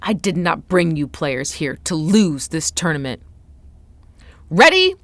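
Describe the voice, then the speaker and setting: A woman's voice: deep voice, big pitch swings, a little slow, no echo, full character voice. A woman voicing a menacing male dictator with a commanding, barked delivery in a dramatic audiobook. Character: menacing male dictator barking firing squad orders.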